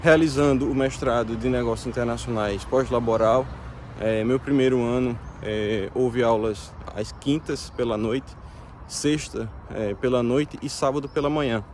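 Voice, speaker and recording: A man from Brazil in his thirties talks with a Brazilian Portuguese accent, in a mid-pitched voice. He speaks to his viewers in a relaxed, hesitant way, often stopping and restarting. Faint street and car noise sits behind him outdoors. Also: normal speed. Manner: hesitant, many fillers and restarts. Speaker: vlogger. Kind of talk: casual explanatory talk to viewers.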